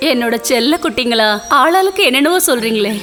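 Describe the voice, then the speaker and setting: A woman's voice: a little high, animated, fast talker, over music, lightly acted. A woman voicing an affectionate, patient mother hen in a children's cartoon, doting and coaxing.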